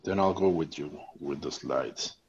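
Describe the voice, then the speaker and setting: A man's voice: very deep voice, measured pace, creaky, darkish tone, slightly rough, lo-fi sound. A man from Mexico with a Mexican Spanish accent, Spanish his first language, giving an online training talk with many fillers and restarts. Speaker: network marketing trainer.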